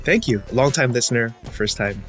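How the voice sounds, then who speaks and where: A man's voice: mid-range voice, expressive, moderate pace, fairly bright tone, soft background music. A man from Singapore in his thirties, talking as a podcast guest in a reflective, casual way with light laughs. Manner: reflective, laughs between points. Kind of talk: casual interview chat.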